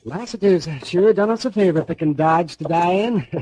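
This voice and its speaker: A man's voice: high-pitched, normal speed, mellow, slightly rough. A man playing a chatty, ghoulishly cheerful American frontier doctor in a 1950s radio western, folksy and garrulous. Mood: gleeful, chatty. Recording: noisy vintage radio recording with clicks.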